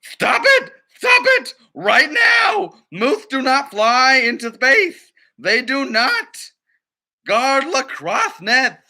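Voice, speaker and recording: Man voicing a shrill, bossy duck director. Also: very animated, slow pace, full character voice. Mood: furious. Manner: shouty, commanding, indignant. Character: bossy, exasperated, hot-tempered duck film director. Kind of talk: picture book read-aloud character voice.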